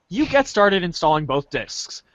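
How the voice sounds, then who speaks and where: Male narrator, high-pitched and wildly animated, playful dramatic reading, dry muffled recording. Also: slow pace, no room sound. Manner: theatrical, playful. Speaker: podcast narrator reading a webcomic aloud.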